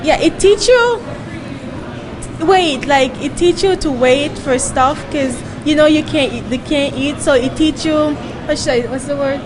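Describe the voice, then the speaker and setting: A woman's voice: high voice, a little slow, over music. A young woman from Jamaica, a volunteer answering interview questions earnestly and searching for words. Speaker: volunteer guest. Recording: noisy crowd around.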